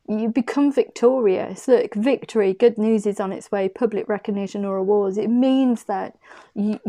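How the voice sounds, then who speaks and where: Mellow-voiced woman reading tarot. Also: medium pitch. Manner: chatty and effusive, thinking aloud, frequent laughs. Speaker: tarot card reader.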